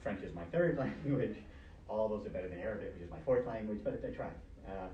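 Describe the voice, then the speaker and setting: A man's voice: lowish voice, lively intonation, fast, lo-fi sound. A middle-aged professor, Urdu his first language, giving a conversational Friday sermon through a face mask, with many fillers and restarts. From Pakistan, grew up in Canada. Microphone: speaking through a face mask. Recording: echoey room, mic far off, noisy background.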